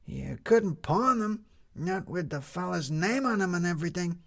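A man voicing a streetwise, outspoken young girl in a story, blunt and drawn-out in speech. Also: high voice, expressive, creaky, background noise, pitched above the performer's own voice. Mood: shrewd.

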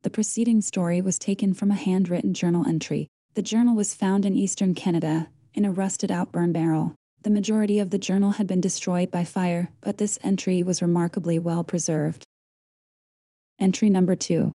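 A woman's voice: fairly low pitch, fairly even intonation, brisk, warm tone, no room sound, clean studio sound. A woman playing a formal, impersonal archivist who narrates in documentary style for an audio drama. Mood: detached. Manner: formal, detached. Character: impersonal female archivist introducing recovered journal entries.

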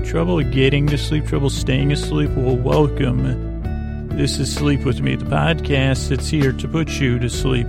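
A man with a creaky, gravelly voice, hosting a bedtime podcast in a gentle, friendly, halting ramble full of stammered repeats and tangents meant to lull listeners to sleep. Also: mid-range voice. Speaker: podcast host. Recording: close dry voice over faint music.

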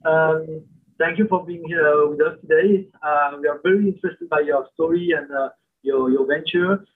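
A man's voice: a little high, steady tone, moderate pace, slightly rough. A man hosting a podcast interview who talks hesitantly with many fillers. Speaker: podcast host. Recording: tinny phone-like line.

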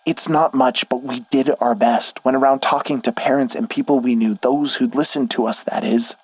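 A man's voice: mid-range voice, fairly even intonation, normal speed, breathy edge, no room sound, voice pushed up, natural voice, rough recording. A man voicing a worried, protective young man who scolds urgently while out of breath. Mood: firm.

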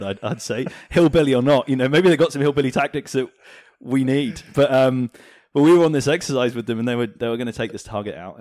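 A man from Hong Kong chatting casually as an interview guest, telling anecdotes in an animated way. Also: fairly low pitch, dry recording, studio quality. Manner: animated, chuckles as he tells anecdotes. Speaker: interview guest, former fighter pilot. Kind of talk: casual storytelling in a podcast interview.

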